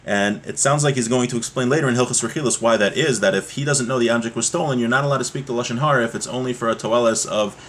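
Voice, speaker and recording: A man's voice: mid-range voice, fast, hardly any pauses, a bit bright. A man giving a religious text lesson, reading aloud and explaining step by step with frequent rhetorical check-in questions. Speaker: religious teacher.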